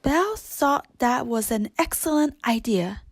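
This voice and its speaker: High-pitched woman narrating a children's story. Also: expressive, measured pace, no room sound. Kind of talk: children's read-along narration.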